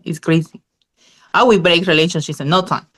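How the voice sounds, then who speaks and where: A woman co-hosting a casual podcast, talking in an animated, emphatic way and laughing easily. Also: lowish voice, brisk. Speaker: podcast co-host. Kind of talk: casual panel discussion.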